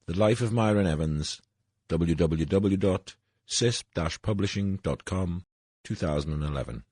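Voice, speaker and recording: An older man from Wales, reading his own autobiographical essay in a measured, formal way, his voice a little husky and his phrases falling at their ends. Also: sits low, darkish tone, no echo, studio quality. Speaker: essay narrator.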